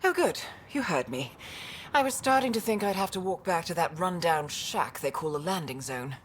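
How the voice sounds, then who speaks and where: A woman's voice: lowish voice, animated, normal speed, slight background noise, natural voice. A woman playing a stranded, exasperated scientist in a video game, talkative and wry. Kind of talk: game dialogue acting.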